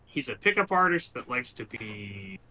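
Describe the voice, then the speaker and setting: A man bantering casually as a tabletop game player, halting as he searches for words. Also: mid-range voice, sing-song, pushed and bright, slight background noise, poor audio.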